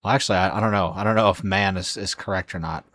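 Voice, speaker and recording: Sleepy, hoarse low-voiced man streaming. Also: fast, a bit bright, no room sound. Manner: rambling, many fillers and restarts. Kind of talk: casual live-stream chat.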